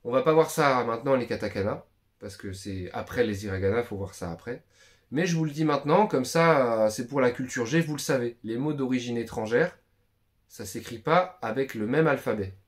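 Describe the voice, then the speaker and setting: A man's voice: medium pitch, fairly quick. A man giving a casual, slangy beginner language lesson to his viewers, chatting loosely and repeating himself as he explains. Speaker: YouTube Japanese tutor.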